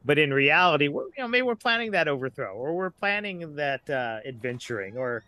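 A man's voice: a little high, sing-song, brisk, bright, forceful tone. A middle-aged man talking casually as a writer guest on a podcast.

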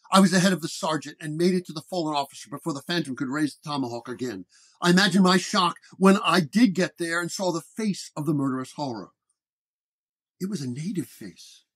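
A man's voice: high voice, fairly bright tone. A man narrating a first-person detective horror story in a dramatic storytelling manner. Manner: storytelling, acting out dialogue.

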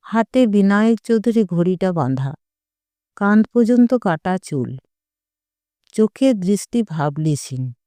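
Woman reading a short story, unhurried n measured, smooth clear voice, really dry close sound. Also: mid-range voice, dark tone, no echo. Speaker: audio story narrator. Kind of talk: scripted literary short story narration.

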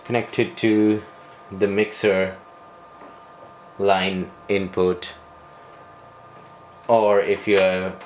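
A man presenting a hands-on equipment tutorial, speaking off the cuff in halting, broken phrases with frequent hesitations. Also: deep voice. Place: small bedroom, indoors. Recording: home video with noticeable background noise.

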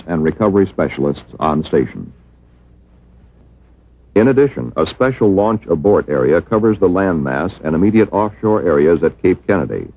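A low, husky American male narrator delivers scripted documentary copy with formal, measured phrasing. The sound is muffled and narrow, like a worn 1960s film soundtrack.

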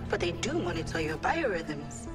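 A woman's voice: lowish voice, very animated, moderate pace. A woman playing a sassy, confident teenage hacker in a video game, casual and snarky in her delivery. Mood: confident, matter-of-fact. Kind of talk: video game cutscene acting. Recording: music behind the voice.

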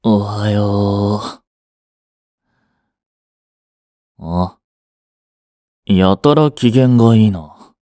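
A man's voice: lowish voice, slow pace, mellow, no room sound, studio quality. A young man acting a curt, flustered boyfriend who hides his affection, with a hesitant, brusque delivery in a romance audio drama. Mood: groggy, sheepish. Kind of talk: Japanese romance audio drama aimed at women.

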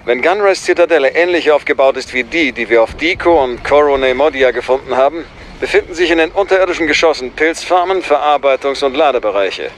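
A man plays a disciplined clone soldier in a sci-fi audio drama. His voice is fairly high, clipped and respectful, set in clear battle noise. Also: animated, brisk, natural voice. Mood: matter-of-fact. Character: disciplined male clone commander, brisk soldier. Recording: loud battle ambience.

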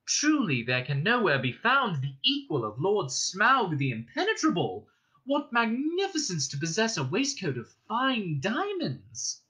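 A man voicing a small, frightened male hobbit who flatters nervously in a squeaky voice, in a storybook reading. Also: measured pace, husky, heavily acted. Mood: flattering.

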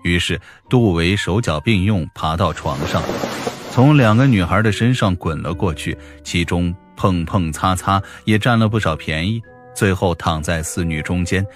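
Male audiobook narrator, low-pitched, dramatic storytelling over faint music. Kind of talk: expressive scripted fiction narration.

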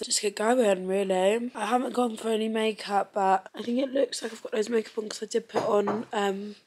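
Young British woman vlogger, voice gone hoarse, chatty and self-mocking, camera held at arm's length. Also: on the high side. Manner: chatty, rambling, self-deprecating. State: hoarse, lost voice. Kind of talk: casual vlog chat to camera. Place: ski resort apartment. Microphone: handheld camera at arm's length.

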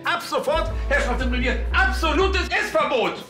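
An actor playing an irritated, officious police chief with a stern, exasperated manner. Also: male voice, very high voice, animated, moderate pace, roomy sound, over music.

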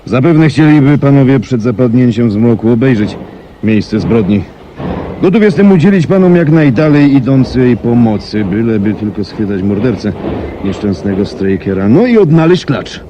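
An actor playing an earnest gentleman colonel in a 1970s Polish radio play, speaking formally, courteously and matter-of-factly. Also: mid-range voice, expressive, normal speed, highs cut off, lightly acted. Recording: noisy archival 1970s radio recording.

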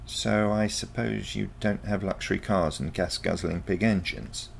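A man's voice: low voice, steady tone, measured pace, creaky, slight background noise, pitched above the performer's own voice, heavily acted. A man voicing a baffled, sceptical grandparent in a storybook, questioning and incredulous. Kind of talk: storybook character dialogue.

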